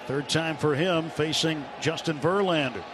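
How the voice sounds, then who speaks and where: A man's voice: a little high, unhurried. A man with an American accent calling a baseball game live as a radio play-by-play announcer. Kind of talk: live sports commentary. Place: broadcast booth at a baseball stadium. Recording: noisy live radio ballpark broadcast.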